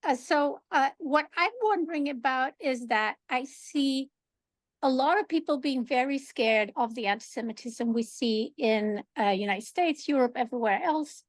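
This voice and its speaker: A woman's voice: sits high, normal speed, dry recording. A woman from Sweden, calling into a video call with worried, searching questions. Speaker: caller.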